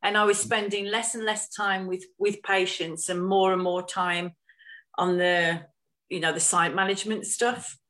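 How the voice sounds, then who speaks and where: A woman co-hosts a casual podcast and takes her time over each thought. She talks slowly and in a reflective way. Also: mid-range voice, fairly even intonation, a bit bright. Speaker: podcast co-host. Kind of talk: casual live podcast chat.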